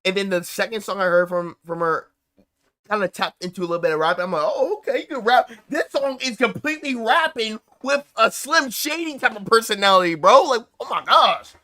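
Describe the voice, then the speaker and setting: A man hosting a live reaction stream, talking casually in slang and breaking into excited outbursts. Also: high voice, fairly quick.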